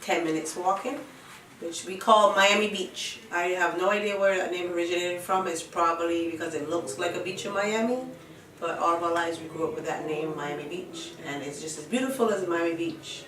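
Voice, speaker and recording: A woman's voice: fairly low pitch, moderate pace, fairly bright tone, slight background noise. A woman in her fifties from Barbados with a Barbadian accent, answering questions in a casual documentary interview. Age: 50s. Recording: roomy, distant microphone, music behind.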